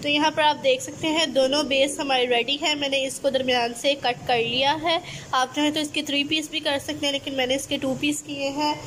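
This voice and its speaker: A woman's voice: high-pitched, fairly even intonation, fairly quick, fairly bright tone, slight background noise. A woman from Pakistan, patiently explaining a recipe step by step as a cooking channel host. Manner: patient, instructive, addresses viewers directly.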